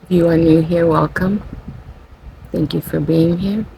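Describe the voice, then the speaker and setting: A tired, low-energy spiritual channeler, a native Spanish speaker, talking casually and rambling, often saying "you know" and correcting themself. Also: steady tone, a little slow, creaky, dark tone, a little hiss or hum, rough recording. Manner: rambling, self-correcting, with frequent "you know" and light laughter. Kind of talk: casual spiritual vlog monologue.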